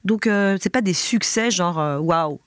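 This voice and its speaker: A woman's voice: lowish voice, unhurried, no echo, clean studio sound. Chatty woman with a head cold. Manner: chatty, swears casually, acts out other people's voices. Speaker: podcast guest. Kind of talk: casual chat.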